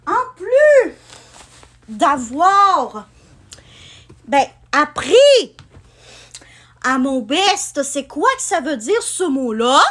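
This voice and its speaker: Young woman vlogger from Quebec, partly France-style accent, high lively voice, unhurried, rambling. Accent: Quebec French, with some France-style features. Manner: rambling, with many "ben" fillers and restarts. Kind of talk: casual chat to camera.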